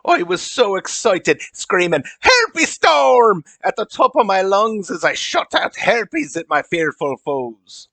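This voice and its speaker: A man's voice: high-pitched, sing-song, unhurried, few pauses, pushed and bright, dry recording, exaggerated voice. A man voicing a vain, indignant elf mage in a comedy, with a foreign accent and a haughty, theatrical delivery. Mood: offended, proud. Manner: haughty, dramatic.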